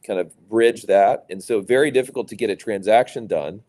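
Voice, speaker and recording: A middle-aged man from Louisiana, a business executive answering interview questions in a measured way, with many fillers and restarts. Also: fairly low pitch, darkish tone. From Louisiana, USA. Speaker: private equity executive, guest.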